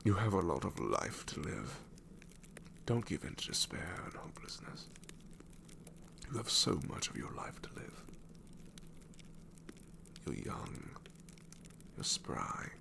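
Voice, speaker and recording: A man's voice: huge pitch swings, slow pace, long pauses, noisy background, exaggerated voice. A man playing an ancient, sassy shadow demon in an ASMR roleplay, wry and world-weary but tenderly reassuring, often slipping into a whisper. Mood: gently reassuring. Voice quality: very deep, gravelly, often breaking into whisper.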